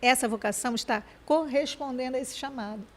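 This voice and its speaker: High-voiced woman talking fast with restarts. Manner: fast, searching speech with many restarts. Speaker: program co-host.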